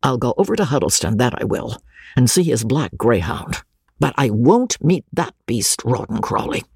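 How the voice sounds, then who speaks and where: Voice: mid-range voice, very animated, normal speed, creaky, a little mellow, husky, no room sound, studio quality, heavily acted. Reader doing a blustering indignant rector. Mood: stubborn. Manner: indignant, ranting. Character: blustering country rector, middle-aged man.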